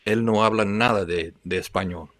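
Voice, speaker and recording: A man's voice: fairly low pitch, brisk, a bit bright, dry recording, clean studio sound. A man hosting a livestream, chatting casually with viewers and reacting to their comments, sometimes switching into learner's Spanish. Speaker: livestream host.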